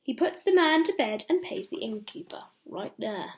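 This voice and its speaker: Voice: medium pitch, big pitch swings, moderate pace. A young reader reading a children's bedtime story aloud, expressively, with explanatory asides to little listeners.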